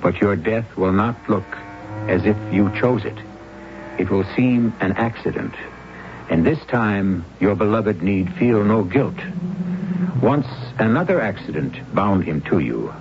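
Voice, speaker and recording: An actor playing a solemn storyteller host, narrating old-time radio suspense in an ominous, measured, theatrical way. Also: male voice, fairly low pitch, animated, background noise. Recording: muffled old radio recording, loud music behind.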